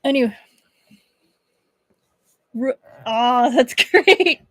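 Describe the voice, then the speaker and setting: A woman livestreaming as a book reader, with expressive storybook narration and casual chat. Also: high-pitched, extremely slow, no echo, low-quality recording.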